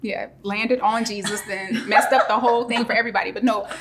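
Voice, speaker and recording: A lively woman co-host who laughs readily and jumps up in pitch when something amuses her. Her speaking voice is otherwise middling, and she chats with a playful, sermon-flavored edge. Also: brisk, over a noisy crowd. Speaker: podcast co-host. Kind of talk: casual podcast chat.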